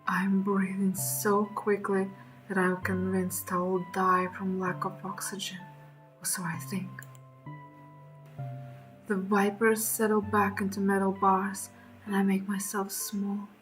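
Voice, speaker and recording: Horror game narrator, frightened young creature, played by a woman: mid-pitched, slow, breathy, dramatic, guarded. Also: level tone, soft background music, close to an everyday voice, rough recording. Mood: fearful, wary. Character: frightened, wary young beast pup narrating, snarling, defensive.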